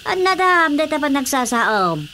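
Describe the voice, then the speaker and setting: A nosy, high-voiced Ilocano village gossip. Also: female voice, very animated, a little slow, bright, forceful tone, a little hiss or hum, full character voice. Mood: chiding. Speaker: actor in a radio drama. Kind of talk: Ilocano radio comedy drama acting.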